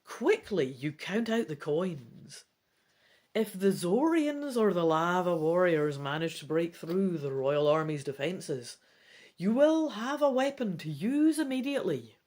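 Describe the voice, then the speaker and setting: Slow, theatrical woman storyteller with swooping intonation. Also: fairly low pitch. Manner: theatrical, expressive. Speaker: gamebook player and reader. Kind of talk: dramatic storybook narration with casual asides.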